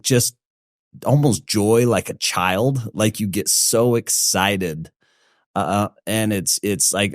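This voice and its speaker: Low-voiced male podcast host, gushing, filler-heavy. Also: dry recording, clean studio sound. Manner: enthusiastic, filler-heavy, slow and effusive. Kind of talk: casual interview hosting.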